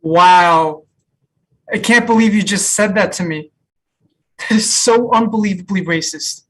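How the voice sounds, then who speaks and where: A woman acting an easygoing, frank, teasing co-op employee in a comedy table read, with a deadpan, measured delivery. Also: medium pitch, slightly rough, low-quality recording. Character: easygoing, frank, teasing new co-op hire Noah.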